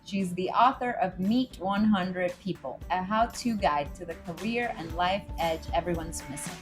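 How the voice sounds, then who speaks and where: A woman's voice: lowish voice, normal speed, over music. Upbeat woman co-hosting a podcast. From El Salvador. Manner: upbeat, chatty, reads news items aloud. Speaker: podcast co-host. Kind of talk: casual podcast chat.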